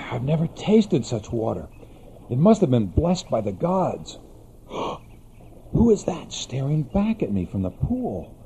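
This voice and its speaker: Man playing a mellow, swooping, vain youth. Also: mid-range voice, sing-song, slow, gravelly, a little hiss or hum, heavily acted. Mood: awestruck. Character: vain, awestruck beautiful youth of Greek myth. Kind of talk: dramatized storytelling of a myth.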